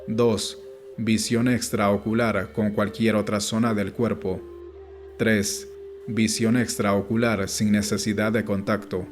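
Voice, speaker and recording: A man reading an audiobook in a measured, explanatory way, as scripted narration. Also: fairly low pitch, level tone. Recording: music playing behind the voice. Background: background music.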